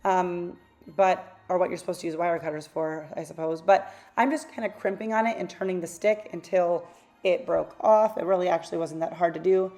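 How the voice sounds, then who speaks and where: A woman hosting a craft channel, talking through a tutorial in a casual, rambling voice-over; her voice is a little raspy from losing it. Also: lowish voice, steady tone, a little fast, warm tone. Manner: chatty, rambling, self-correcting asides.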